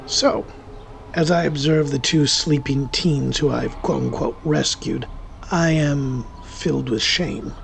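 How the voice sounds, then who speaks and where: A man playing a guilt-ridden warlock who confesses to killing, in a theatrical, sardonic first-person horror monologue with deliberate pauses. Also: medium pitch, gravelly, a little hiss or hum. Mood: rueful. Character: male warlock killer, wry, self-deluding, guilt-ridden confessor. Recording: music under the voice.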